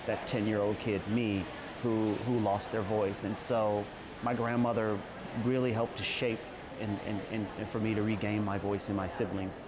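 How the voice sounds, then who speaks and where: A man speaking earnestly as an interview guest, at times halting and repeating words. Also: lowish voice, little pitch movement, noisy background. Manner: emotional, halting restarts. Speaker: guest, trauma survivor and advocate.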